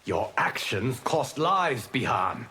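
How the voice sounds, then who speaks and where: An actor playing a wise, calm protector god in a video game, speaking in a solemn, measured, formal way. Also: male voice, medium pitch, full character voice. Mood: stern, reproachful. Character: wise, calm male god protecting Earth, stern but kind. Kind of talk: video game dramatic dialogue. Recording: noisy game capture with clicks.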